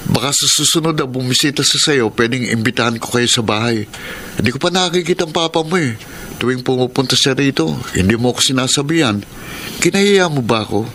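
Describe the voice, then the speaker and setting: An actor with a Filipino accent playing a young college student, an evasive boyfriend, in a radio drama. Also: male voice, mid-range voice, lively intonation, a little fast, fairly bright tone, slight background noise, lightly acted. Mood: coaxing. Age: young adult.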